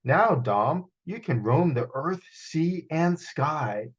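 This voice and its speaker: Man voicing a proud, grand papa dragon, raised pitch. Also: lively intonation, slow pace, slightly rough, muffled recording, exaggerated voice. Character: proud fatherly dragon teaching his young son.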